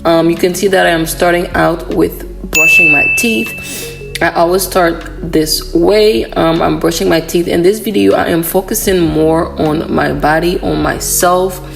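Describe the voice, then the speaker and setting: A woman narrating a voiceover for her morning routine vlog, hoarse from a cold, chatty and relaxed with frequent "um"s. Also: on the low side, unhurried, music in the background, low-quality recording. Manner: relaxed, chatty, many "um" fillers. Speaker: vlogger.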